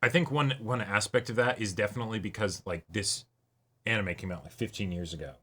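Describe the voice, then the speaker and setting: A man's voice: lowish voice, fairly quick, a bit bright, husky. A man co-hosting a reaction discussion, chatting casually and agreeably as he feels out his points. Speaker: co-host of an anime reaction channel.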